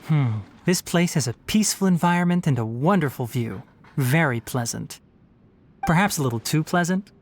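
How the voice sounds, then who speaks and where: A young male forest ranger with fox ears, speaking in a lowish register for a female voice with very animated pitch. He is articulate and brisk, dryly fussy but caring, like a knowledgeable video game companion. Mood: friendly, informative. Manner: articulate, matter-of-fact, dryly scolding.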